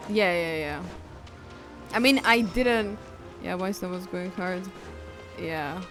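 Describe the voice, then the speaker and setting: A woman's voice: lowish voice, slow, a bit bright. A woman livestreamer chatting casually while she plays a video game. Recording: game music under the voice. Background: video game music.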